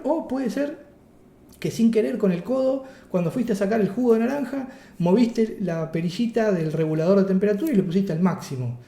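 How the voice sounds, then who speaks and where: A man with a Rioplatense Spanish accent leads a workshop lecture. His voice sits high, yet the tone is very dark and mellow. He teaches in a playful, chatty way, with rhetorical questions and jokes, and the sound rings in an echoey room.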